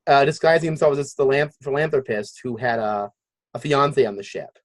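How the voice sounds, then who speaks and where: A man hosting a casual podcast discussion, halting as he thinks aloud. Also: medium pitch, no room sound. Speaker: podcast host.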